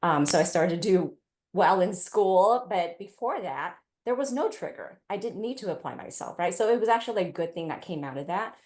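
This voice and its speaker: A woman's voice: fairly low pitch, expressive, normal speed, darkish tone. A middle-aged woman from Taiwan and California telling personal stories as a parent on a panel. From Taiwan, then California from age eight. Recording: roomy, echoey event space.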